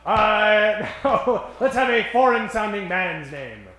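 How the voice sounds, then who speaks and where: Guy with a really high voice hosting a stage comedy show, old 1940s radio emcee style, big showman, echoey. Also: expressive, slow, pushed and bright, soft background music. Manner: showman-like, projecting to an audience. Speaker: host of a live improvised radio comedy show. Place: stage in front of a live audience. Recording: echoey live stage sound.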